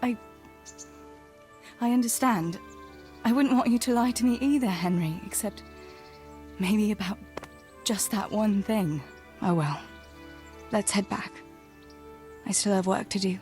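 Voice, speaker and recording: An actress playing a tender, gently teasing young village woman in a medieval video game, soft-spoken and earnest. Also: mid-range voice, unhurried, pauses often, soft background music, close to an everyday voice. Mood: tender, worried. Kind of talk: naturalistic video game dialogue acting.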